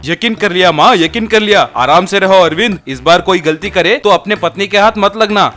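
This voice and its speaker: A man's voice: sits high, normal speed, sharp tone, faint music behind. A man playing a wry doctor who gives droll, lecturing advice in a comic story.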